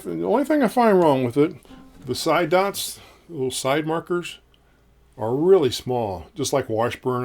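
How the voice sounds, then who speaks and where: A man in his fifties with a low voice, reviewing a guitar in casual, rambling talk full of ums, recorded in a small home studio. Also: darkish tone. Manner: rambling, folksy, many ums and uhs.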